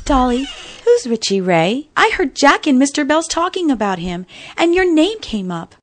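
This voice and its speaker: A woman's voice: high voice, very animated, moderate pace. A woman playing a timid, eager-to-please young bank assistant in an amateur radio play, hesitant and deferential. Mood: curious.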